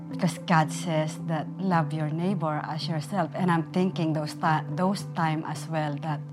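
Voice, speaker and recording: Woman telling her story slowly, lowish voice, music playing under her. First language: Tagalog. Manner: halting, soft-spoken, earnest. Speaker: care worker sharing a testimony. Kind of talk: recorded personal testimony. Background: soft music.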